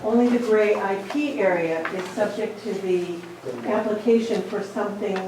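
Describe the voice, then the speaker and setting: A woman's voice: medium pitch, normal speed. A woman speaking formally and precisely for the applicant at a public hearing. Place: town meeting room. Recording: very echoey hall from far off with noise.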